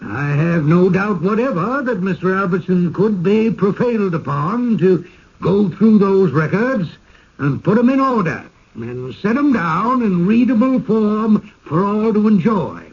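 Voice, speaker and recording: On a dull old radio recording, a genial village elder in a radio play. His voice is fairly high and husky, and it swings freely as he makes courtly, folksy speeches and insists on his hospitality. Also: animated, a little slow, exaggerated voice. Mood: pompous, persuasive. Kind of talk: radio drama acting.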